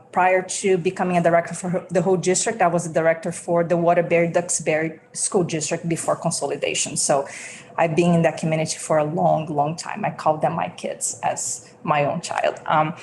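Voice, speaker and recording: A woman's voice: lowish voice, a little hiss or hum. A woman from Brazil with a Brazilian accent, testifying earnestly as a school food service director at a committee hearing, with many ums and restarts.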